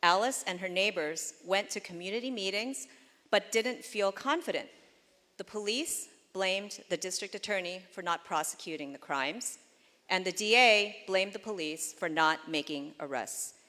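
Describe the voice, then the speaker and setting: A woman reading a prepared, earnest tribute, measured and steady, with small restarts. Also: mid-range voice, in a large hall. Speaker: speaker giving a tribute. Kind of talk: read prepared remarks.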